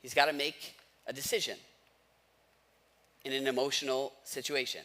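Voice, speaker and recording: A man's voice: mid-range voice, steady tone, normal speed, pushed and bright. An American man preaching a sermon as a pastor, telling stories informally with wry asides and speaking directly to his listeners. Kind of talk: conversational storytelling sermon.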